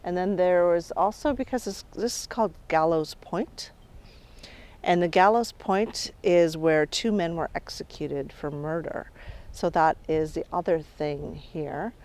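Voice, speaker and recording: A middle-aged woman working as a spirit medium, talking calmly outdoors on an island and often trailing off quietly. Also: on the low side, measured pace. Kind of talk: on-location reality show narration.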